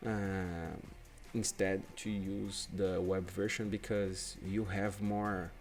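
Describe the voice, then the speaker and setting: A young man from Brazil with a Brazilian Portuguese accent, hosting a screen-share software tutorial in non-native English and often restarting his phrases. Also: low-pitched, flat delivery, slow. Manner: hesitant, repeats and restarts phrases. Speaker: UX/UI designer and channel host.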